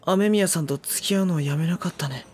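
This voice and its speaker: An actor in a drama CD voicing a quiet young man who asks soft, hesitant questions. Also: fairly high pitch, fairly quick, lightly acted. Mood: curious. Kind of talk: drama CD acting. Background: background music.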